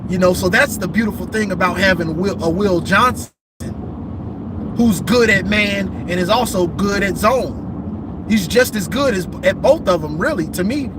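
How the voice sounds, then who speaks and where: A man hosting casual football talk, rambling and repeating himself, a bit hoarse from losing his voice, calling in from a vehicle. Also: high voice, moderate pace, background noise. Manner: rambling, repeats himself, says "you know what I'm saying". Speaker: football show host. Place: in a vehicle on a bridge.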